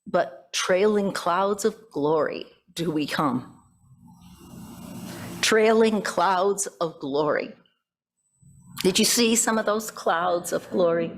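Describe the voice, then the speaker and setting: A middle-aged or older woman, a pastor, preaching reflectively in measured phrases with deliberate pauses. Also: medium pitch, lively intonation, a bit bright. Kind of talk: sermon with poetry quotations.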